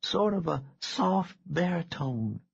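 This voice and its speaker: A man reading an audiobook, voicing a shaken but wry young woman with a thin, raspy voice and a hesitant, soft-spoken manner. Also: on the high side, lively intonation, slow, no room sound, dull audio, pitched above the performer's own voice, heavily acted. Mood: thoughtful. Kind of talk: audiobook character dialogue.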